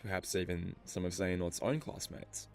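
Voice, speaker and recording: A man narrating a scripted story explainer in a measured, musing way, posing rhetorical questions. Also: sits low, steady tone, gravelly, darkish tone. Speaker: video essay narrator. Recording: music under the voice. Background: background music.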